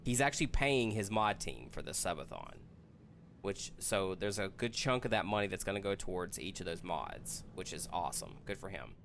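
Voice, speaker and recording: A man in a casual group chat, explaining a story haltingly with self-corrections. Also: deep voice, big pitch swings, fairly bright tone, a little hiss or hum. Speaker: podcast host.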